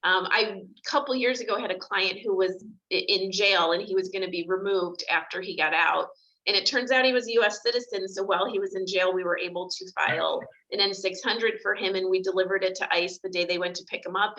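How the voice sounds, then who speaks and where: A woman immigration attorney chats over a video call, loose and conversational with plenty of fillers. Her voice is bright and forward. The call audio is a little dull and lo-fi. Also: medium pitch, brisk. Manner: chatty, many fillers. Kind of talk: video call panel discussion.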